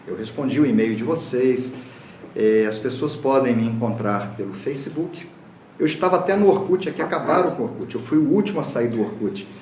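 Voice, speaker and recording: Brazilian male lecturer, earnest, mid-pitched, echoey hall with faint background noise. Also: brisk, darkish tone. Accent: Brazilian Portuguese. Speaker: lecturer, Reiki teacher. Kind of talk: public talk. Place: lecture room.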